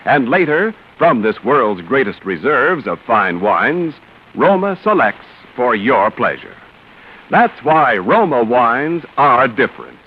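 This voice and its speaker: A man delivering a deliberate, emphatic 1940s radio sales pitch as a commercial announcer. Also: sing-song, slightly rough. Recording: muffled old radio recording.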